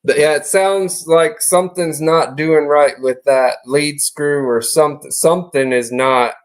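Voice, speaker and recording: Man, stream host, laid-back, drawling, reads chat comments out loud. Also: a little high, measured pace. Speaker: livestream host. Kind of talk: casual livestream chat, reading comments.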